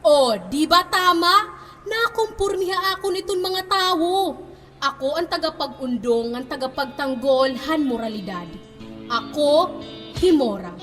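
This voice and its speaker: A woman's voice: high-pitched, expressive, a little slow, fairly bright tone, soft background music. A woman acting a stern, self-appointed defender of morality, declaiming preachily on stage. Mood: preachy, righteous. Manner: declamatory, preachy, rhetorical questions. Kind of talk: stage play monologue, declaiming. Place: stage in a reverberant hall. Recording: echoey stage hall, background noise.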